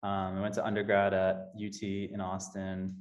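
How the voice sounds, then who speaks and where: Low-voiced hesitant young man. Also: monotone, normal speed. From Texas. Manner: hesitant, many fillers. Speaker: medical graduate panelist. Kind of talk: casual panel talk. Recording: dull lo-fi audio.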